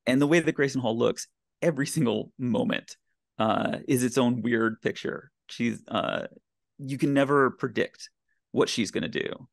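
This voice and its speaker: A man talks casually and enthusiastically, like a guest on a podcast. His mid-pitched voice has a gravelly creak and sits close and dry, with emphatic, stop-and-start phrasing. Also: moderate pace, no echo. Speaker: podcast guest, blogger and writer.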